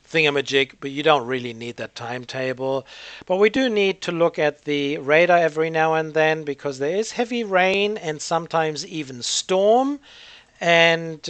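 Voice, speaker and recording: A man hosts a casual gameplay session in a fairly high-pitched voice. He thinks aloud in a rambling, hesitant way, feeling his way through each point. The sound is very dry, as if close to the microphone. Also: a bit bright, dry recording. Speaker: gaming channel host.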